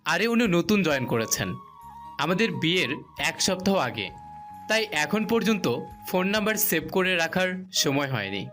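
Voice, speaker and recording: Guy doing a cheeky young husband in an audio romance, swingy pitch, argues back, faint music behind. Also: sing-song, normal speed, fairly bright tone. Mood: defensive. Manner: teasing, argumentative. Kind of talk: audio love-story acting.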